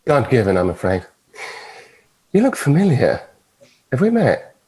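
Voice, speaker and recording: An actor with a British accent playing a suave, mischievous immortal devil, teasing and flippant, at a TV drama table read. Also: male voice, medium pitch, wildly swooping pitch, a little slow, darkish tone, breathy edge, full character voice. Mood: playful.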